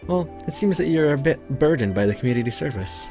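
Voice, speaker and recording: A Canadian man playing a cheerful young woman, raised and lively pitch, friendly and eager, faint music behind, visual novel reading. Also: quick pace. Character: cheerful helpful young woman, camp pioneer.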